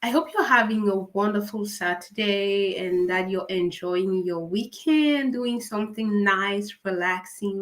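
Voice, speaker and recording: A woman with a raspy voice from a cold, hosting a live writing-coaching session, upbeat and encouraging, asking viewers many questions. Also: medium pitch, low-quality recording. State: fighting a cold. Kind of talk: live-stream coaching talk.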